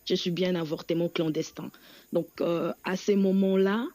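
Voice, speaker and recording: A woman in her thirties from the Democratic Republic of the Congo, calling in to a radio show to give a personal testimony in a soft, halting way with frequent pauses. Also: fairly low pitch, fairly even intonation, no room sound. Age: 30s. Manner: halting, soft-spoken, pauses often with fillers. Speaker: caller.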